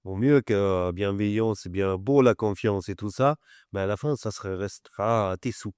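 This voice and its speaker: Man with a German accent, clear voice. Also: lowish voice, moderate pace, darkish tone, dry recording, studio quality. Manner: teasing, storytelling. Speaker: chef. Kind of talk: casual chat.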